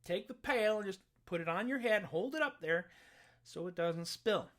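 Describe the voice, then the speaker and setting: A storyteller voicing the sheriff's daughter, a patient, weary young woman who talks slowly and coaxingly, as to a child. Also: medium pitch, lively intonation, fairly bright tone, natural voice. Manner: slow, coaxing, talking to him as to a child.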